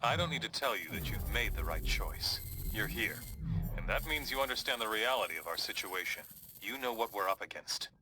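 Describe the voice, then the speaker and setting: An actor playing a grave, controlled superhero team leader giving a mission briefing in a video game. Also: male voice, on the low side, animated, fairly quick, natural voice, poor audio. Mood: grave, resolute.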